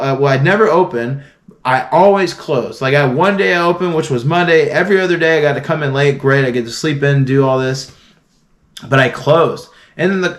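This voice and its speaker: Earnest young man rambling to camera. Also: a little high. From Florida. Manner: earnest, rambling, frequent restarts and fillers. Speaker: vlogger. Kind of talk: casual motivational talk to camera.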